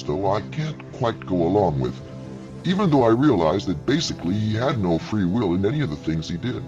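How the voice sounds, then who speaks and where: A man's voice: fairly low pitch, lo-fi sound. A man narrating a scripted story in a conversational way, with wry asides to the listener.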